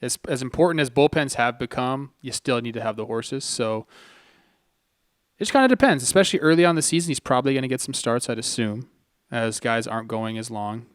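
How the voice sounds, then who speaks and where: A man's voice: medium pitch, fairly quick, smooth, no room sound, studio quality. A man, a former ballplayer, giving casual sports analysis as a podcast co-host.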